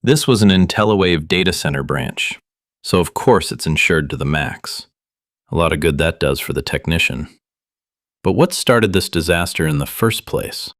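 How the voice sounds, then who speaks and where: A man's voice: low voice, animated, moderate pace, a bit bright, dry recording, clean studio sound. A man playing a wry conspiracy show host in an audio drama, talking in a conversational, conspiratorial way. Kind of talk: scripted audio drama monologue as talk-show broadcast.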